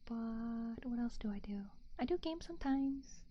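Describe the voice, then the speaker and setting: Woman ASMR streamer, soft whispered reading, slightly high; muffled stream sound. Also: lively intonation, a little slow, a little hiss or hum.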